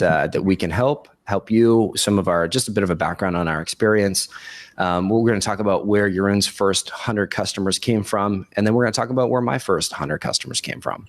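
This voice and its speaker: A man hosting a webinar podcast in a casual, chummy way, his voice raspy from a cold. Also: on the low side, no echo. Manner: informal, chummy address. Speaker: podcast host.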